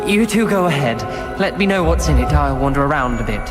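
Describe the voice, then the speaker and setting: A man's voice: on the high side, lively intonation, a little fast, music in the background, close to an everyday voice. An actor playing a grim, guilt-ridden young male wizard student in a video game, speaking. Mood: withdrawn. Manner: somber, weary and bitter. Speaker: game character.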